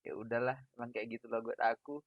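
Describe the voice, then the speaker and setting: A young man from Medan with a Medan accent, a big booming voice and a loud, laughing way of chatting as a podcast host on a call. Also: flat delivery, fast talker, no echo. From Medan, Indonesia. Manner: loud, laughing, self-deprecating. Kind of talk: casual chat over a video call. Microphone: video call audio. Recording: dull lo-fi call audio, dry.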